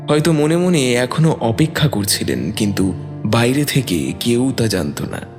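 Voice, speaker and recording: A man's voice: medium pitch, lively intonation, unhurried, a little mellow. A man narrating a scripted dramatic story. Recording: long reverb, music bed.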